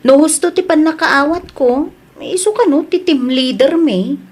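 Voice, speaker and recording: A woman's voice: sits high, moderate pace, darkish tone, lightly acted. A Filipino woman with an Ilocano accent playing a meek, apologetic young new employee in a comedy-drama, hesitant and deferential. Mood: weary, worried.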